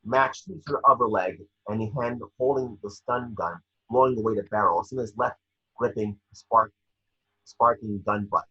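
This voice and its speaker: A man's voice: fairly low pitch, moderate pace, sharp tone, husky, muffled recording. A man narrating screenplay action in an amateur table read, occasionally stumbling over the script. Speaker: scene-description reader.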